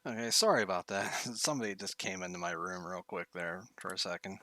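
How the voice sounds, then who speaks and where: A man's voice: low voice, fairly quick, bright, forceful tone. A man streaming a game tutorial from his bedroom, thinking aloud with many fillers, his voice getting tired.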